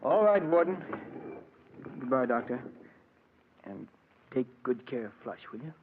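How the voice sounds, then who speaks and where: An actor playing a resigned condemned man in a 1940s radio drama, speaking solemnly and haltingly. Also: medium pitch, very animated. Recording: muffled, narrow old radio recording, faint noise.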